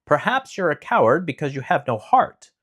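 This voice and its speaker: A man voicing a gentle male tin woodman in a raised, reassuring tone. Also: on the high side, sing-song, moderate pace, breathy edge, pitched above the performer's own voice, full character voice.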